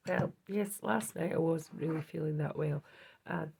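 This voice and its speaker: A woman with a hoarse voice from a cold, chatting casually on her craft vlog and showing her project, with many ums and restarts. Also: low-pitched, normal speed, dark tone, a little hiss or hum. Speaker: craft channel host.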